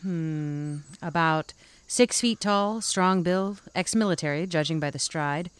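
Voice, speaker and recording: Woman playing a senior lady fugitive, shrewd, folksy, somewhat low pitch, wry, comic audio drama. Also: unhurried, clear, resonant tone, natural voice. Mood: shrewd, knowing. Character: shrewd, unflappable senior woman, fugitive retirement-home sleuth. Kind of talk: audio comedy drama acting.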